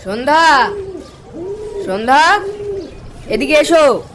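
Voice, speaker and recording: A man's voice: very high-pitched, expressive, slow pace, a crowd in the background, exaggerated voice. An actor playing a hospitable, insistent village man in a horror cartoon, talking in a chatty, coaxing, folksy way. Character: hospitable, insistent village man hosting his junior colleague. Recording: music behind the voice. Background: background music.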